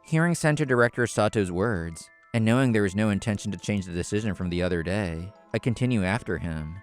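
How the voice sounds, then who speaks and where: A man narrating a scripted story in the first person, in a measured, explanatory manner. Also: lowish voice, expressive, faint music behind. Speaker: narrator and main character of a manga video.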